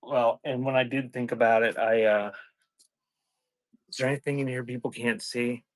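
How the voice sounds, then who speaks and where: A man's voice: mid-range voice, steady tone, moderate pace, no echo. Rambling man chatting casually on a live stream. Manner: rambling, scattered, thinking out loud. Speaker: live-stream seller hosting a sale and unboxing.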